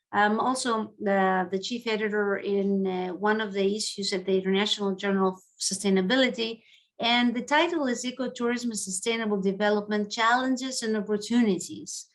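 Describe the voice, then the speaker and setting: A woman academic moderating a panel in a formal, halting way. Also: medium pitch, steady tone, fairly bright tone.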